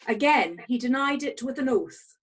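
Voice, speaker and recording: Woman narrating bible story from a script, highish voice, bit husky. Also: expressive, moderate pace. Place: online video meeting from home.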